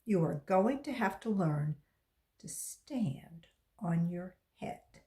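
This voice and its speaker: Woman reading as a firm, animated schoolteacher. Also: on the low side, pitched below the performer's own voice. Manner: brisk, insistent.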